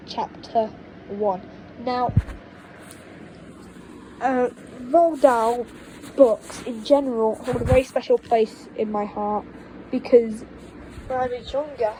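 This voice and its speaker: A woman reading an audiobook as an amateur storyteller, haltingly, sometimes repeating words. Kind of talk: audiobook storytelling narration, informal asides. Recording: noisy low-quality home microphone.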